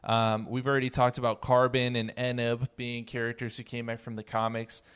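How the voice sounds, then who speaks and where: A man's voice: medium pitch, little pitch movement, fairly quick, fairly bright tone, clear, resonant tone. A man hosting a casual roundtable fan discussion, talking with enthusiasm and emphasis. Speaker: podcast host.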